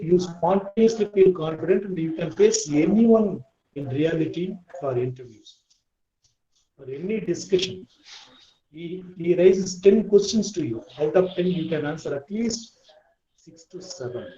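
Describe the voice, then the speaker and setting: A man speaks earnestly and repeats his advice in an online lecture. His voice is mellow and sits a little high for a man. The audio is muffled and roomy, as over a video call. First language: Tamil. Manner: earnest, repetitive advice-giving. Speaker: webinar speaker. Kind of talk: lecture and Q&A answers. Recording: echoey, dull lo-fi call audio.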